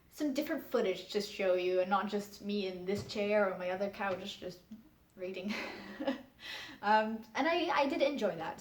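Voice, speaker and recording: A young woman, a book vlogger chatting frankly to camera and keeping her voice in a low register. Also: echoey room. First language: Dutch. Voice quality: speaks in a low register in English. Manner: chatty, frank, laughs often, emphatic refusals. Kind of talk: casual answers to a tag video, talking to camera. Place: room at home.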